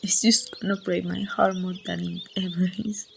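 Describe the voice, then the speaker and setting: A woman playing a grieving young woman saying goodbye to a lost loved one in an ASMR roleplay, speaking softly and haltingly, at times near a whisper. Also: lowish voice, flat delivery. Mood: heartbroken, reluctant. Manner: soft, halting, breathy delivery slipping toward whispers. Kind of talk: amateur ASMR roleplay monologue. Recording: outdoor ambience with birdsong, faint noise.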